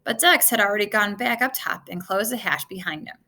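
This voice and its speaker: A woman reading a children's adventure novel aloud in an expressive way, occasionally stumbling over a word. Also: mid-range voice, brisk. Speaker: storybook reader.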